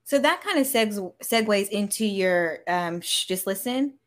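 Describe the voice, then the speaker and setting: A woman's voice: mid-range voice, lively intonation, a little slow. A woman with an American accent interviewing as a podcast host in a casual, informal chat.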